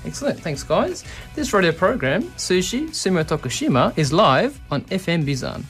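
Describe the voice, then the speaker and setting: A man from Australia hosting a community radio show, chatting casually with guests and giving quick, upbeat affirmations. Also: sits high, very animated, fairly bright tone, soft background music. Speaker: radio host.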